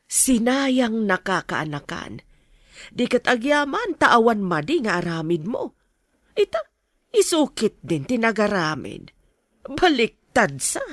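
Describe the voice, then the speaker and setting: Consoling older female relative, acted by a woman: Ilocano accent, lamenting, animated, slightly high voice, dry studio. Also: slow, no echo, full character voice. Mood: sorrowful consoling. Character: pitying older female relative consoling a heartbroken young man. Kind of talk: Ilocano radio drama acting.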